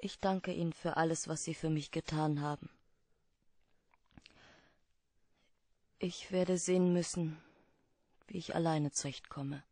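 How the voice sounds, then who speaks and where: An actress playing a guarded, troubled client pleading for help in a German radio play, hesitant and earnest. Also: female voice, deep voice, steady tone, moderate pace, dark tone, dry recording. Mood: anxious, resigned.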